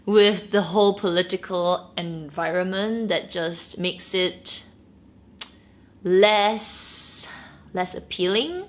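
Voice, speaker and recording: A Malaysian woman in her late thirties, with an accent tinged by years in Singapore, answering viewer questions in a bubbly, chatty way. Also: medium pitch. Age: 30s. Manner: bubbly and chatty, with frequent laughs and squeals. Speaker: beauty and luxury vlogger. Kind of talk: casual Q&A chat to camera.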